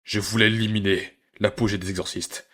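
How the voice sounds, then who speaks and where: A man's voice: lowish voice, flat delivery, a little fast, fairly bright tone. A man playing a proud, bitter mercenary killer in an anime fan dub, with a brooding, dramatic delivery. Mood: bitter, resigned.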